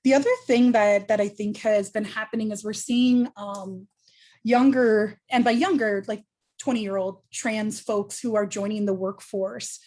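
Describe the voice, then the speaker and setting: A woman's voice: mid-range voice, steady tone, unhurried, a little mellow. Earnest woman panelist, thinking aloud. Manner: earnest, thoughtful, many ums and restarts. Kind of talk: panel discussion answer.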